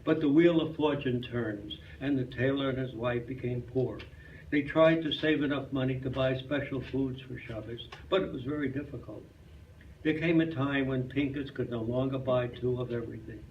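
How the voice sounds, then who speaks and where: A man's voice: medium pitch, moderate pace, a little hiss or hum. A man reading a folk tale aloud as a storyteller at a live club performance. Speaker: storyteller at a club reader's theater. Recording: echoey, muffled, distant live room recording.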